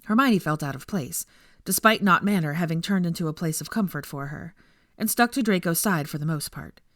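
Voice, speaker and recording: A woman reading a story aloud in an expressive scripted narration style. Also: on the low side, fairly quick, dry recording, clean studio sound. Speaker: fan fiction narrator.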